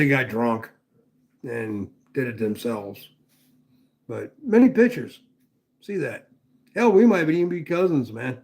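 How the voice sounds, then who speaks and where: A man's voice: mid-range voice, creaky. An older man hosting a casual livestream, rambling, folksy and profane as he reads comments. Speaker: livestream host.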